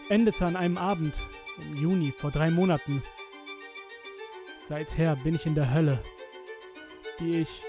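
A young man acting a guilt-ridden, haunted twenty-year-old who gives a halting, emotional confession in a horror audio drama. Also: on the high side. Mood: grave, remorseful. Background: music with violin.

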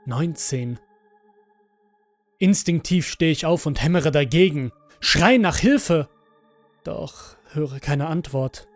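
A man reads a horror audio story in a slightly high voice. His delivery is slow, deliberate and dramatic, with music playing faintly underneath. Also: expressive, dry recording. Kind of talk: scripted first-person horror audio story narration. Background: background music.

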